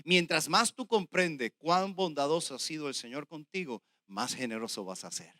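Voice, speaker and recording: Animated Puerto Rican preacher with a high voice. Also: male voice, normal speed, dry recording, clean studio sound. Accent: Puerto Rican Spanish. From Puerto Rico. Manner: emphatic, addresses listeners directly, swings from loud declarations to hushed asides. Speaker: pastor preaching. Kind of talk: sermon, verse-by-verse Bible teaching.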